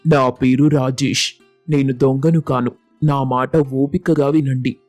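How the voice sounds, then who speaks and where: A man acting a humble, honest young villager in a moral storybook, speaking in a measured, respectful, pleading way. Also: mid-range voice, fairly even intonation, a little mellow, no echo, faint music behind, lightly acted. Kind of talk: acted moral storybook dialogue.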